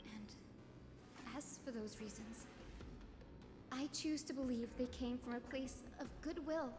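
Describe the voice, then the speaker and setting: Casting: young game heroine, high voice, gentle yet resolute, formal, in echoing space with music. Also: female voice. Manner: earnest, formal, measured. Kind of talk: dramatic game dialogue.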